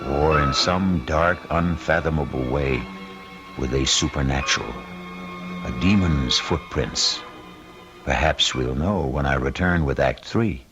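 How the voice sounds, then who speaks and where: Casting: brooding male mystery narrator, rock-bottom pitch, unhurried and portentous, dull vintage radio sound. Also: over music. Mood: ominous, brooding. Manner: measured, portentous, theatrical. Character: ominous, brooding male mystery host and storyteller. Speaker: host and narrator. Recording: dull old radio recording.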